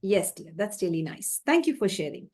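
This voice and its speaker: A woman's voice: medium pitch, big pitch swings, normal speed, a little mellow. A woman hosting an online interview, polite and formal, addressing her guest as dear and ma'am. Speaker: interviewer, host.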